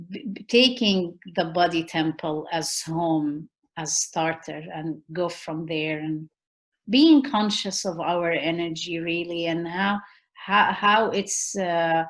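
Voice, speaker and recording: A middle-aged woman with a Middle Eastern accent, speaking earnestly on a video call from home and often pausing to search for words. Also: lowish voice. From Middle East. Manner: earnest, searching for words with many fillers and restarts. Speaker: guest, peace activist. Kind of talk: reflective conversation. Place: room at home. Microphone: laptop mic on a video call.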